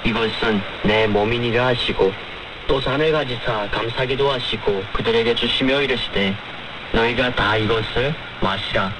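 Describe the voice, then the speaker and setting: A man's voice: medium pitch, normal speed. Man reading formally over noisy shortwave radio. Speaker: scripture reader and program announcer. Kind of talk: solemn scripture reading and formal introduction.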